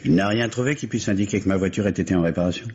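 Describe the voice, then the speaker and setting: An actor playing a grave, bitter widower in a French mystery radio play, with measured, dramatic delivery. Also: male voice, sits low, darkish tone, slightly rough. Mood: bitter, tense. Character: grieving, bitter New York widower hunting his wife's killer. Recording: dull, hissy vintage radio recording.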